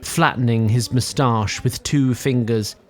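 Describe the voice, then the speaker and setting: A man narrating a scripted fantasy audio drama in a formal, deliberate way, addressing the listener. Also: mid-range voice, steady tone.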